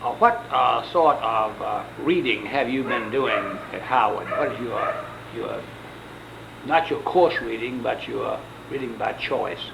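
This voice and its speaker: Brief Man, formal interviewer, gravelly, measured questions, noisy old recording. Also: mid-range voice. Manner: measured, polite, with hesitant fillers. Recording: hissy old tape recording.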